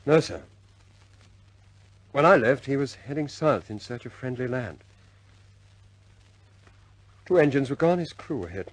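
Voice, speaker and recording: Loyal RAF-style officer, husky, lively intonation, understated and deferential, for a vintage radio war drama. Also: male voice, medium pitch, unhurried, long pauses, no room sound, low-quality recording. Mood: grave. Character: loyal, respectful male air force officer, frankly understated. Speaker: squadron pilot reporting to his superior.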